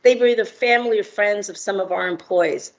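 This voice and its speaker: A middle-aged woman from Argentina talks as a guest entrepreneur in a mid-pitched, friendly voice. She pauses often with ums and uhs. The audio is dull and lo-fi, as over a video call. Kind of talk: prepared remarks with fillers.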